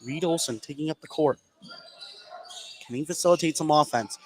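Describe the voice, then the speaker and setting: Excitable American man calling basketball, gym noise. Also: on the high side, normal speed. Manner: excited, stretches words on big plays. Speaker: sports play-by-play announcer. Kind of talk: live basketball play-by-play commentary. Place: indoor high school gym. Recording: clear gym noise behind the voice. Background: gymnasium ambience.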